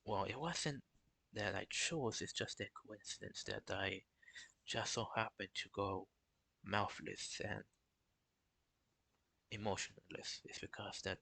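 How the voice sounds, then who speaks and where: A young man talking casually on a live stream, in a flat, halting way with many restarts. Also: lowish voice, vocal fry, no room sound, rough recording. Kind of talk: casual solo stream talk.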